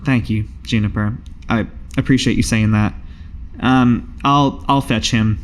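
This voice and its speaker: A man's voice: lowish voice, fairly even intonation, slight background noise, natural voice. Man playing a hesitant, downcast young apprentice woman. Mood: dejected, humble. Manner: hesitant, halting, subdued. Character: dejected young female druid apprentice, humble and earnest.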